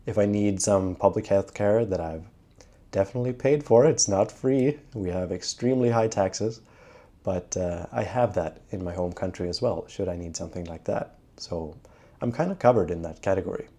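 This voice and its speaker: A young man from Sweden talking casually to camera in a travel vlog, chatty and relaxed, with frequent filler sounds. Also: sits low, normal speed. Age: young adult. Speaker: travel vlogger.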